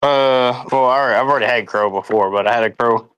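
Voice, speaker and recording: A man chatting casually as a guest, excitable and often laughing and cutting in. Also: mid-range voice, clear, resonant tone, dry recording. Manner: excitable, laughing, quick to interrupt. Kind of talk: casual chat.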